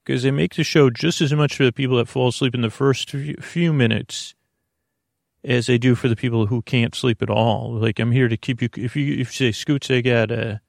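Male American podcast host for sleep monologue: creaky, dry studio sound, rambling, hesitant, drifting delivery. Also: medium pitch, dry recording. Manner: meandering, halting, keeps trailing off. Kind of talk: rambling bedtime monologue to help listeners sleep.

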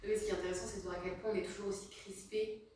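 A woman in a casual group discussion who lays out her opinions quickly. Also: medium pitch, fairly even intonation, darkish tone, a little hiss or hum. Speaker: discussion participant. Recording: echoey room, distant mic.

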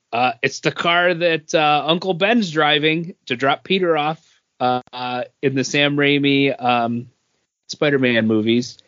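A man's voice: on the high side, fairly bright tone, dry recording, dull audio. A man co-hosting a podcast, talking casually about pop culture, hesitant and often circling back over his phrases. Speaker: podcast co-host.

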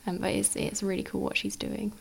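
A woman's voice: medium pitch, level tone, fairly quick, creaky, breathy edge. A woman interviewer explaining something informally in a casual chat.

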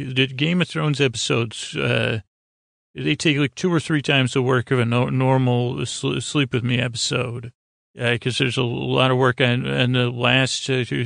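A man hosting a podcast in a rambling bedtime monologue, with a creaky voice and a halting, meandering delivery that stumbles over words. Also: medium pitch, a bit bright, dry recording, studio quality. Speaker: podcast host.

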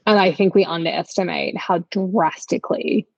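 A woman's voice: on the low side, normal speed, a little mellow, no echo, muffled recording. A woman co-hosting a podcast, discussing the news in a reflective, conversational way. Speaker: podcast co-host.

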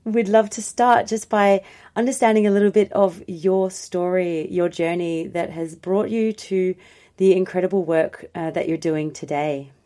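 A woman's voice: lowish voice, steady tone, a little slow, warm tone. A woman hosting an interview podcast, asking long, winding, affirming questions. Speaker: podcast host.

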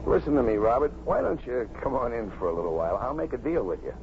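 An actor playing a gruff, streetwise American policeman, blunt and urgent, in 1950s radio drama style. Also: medium pitch, sing-song, fast talker. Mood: earnest, coaxing. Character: gruff, streetwise New York policeman, stubborn but caring. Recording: dull, noisy 1950s radio recording.

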